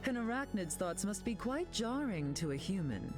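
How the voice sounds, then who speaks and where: A haughty, condescending queen of a video game , played by a woman. Her lines swoop widely with poised, theatrical sarcasm. Music plays clearly behind her. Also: medium pitch, big pitch swings, moderate pace, natural voice. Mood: cool, condescending. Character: haughty, sardonic, glamorous telepathic queen. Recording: game dialogue over loud music.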